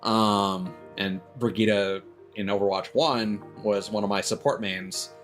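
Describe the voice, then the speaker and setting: A man's voice: lowish voice, steady tone, takes it slowly, a bit bright, soft background music. A man doing casual, rambling livestream chat as a game streamer, with chuckles here and there.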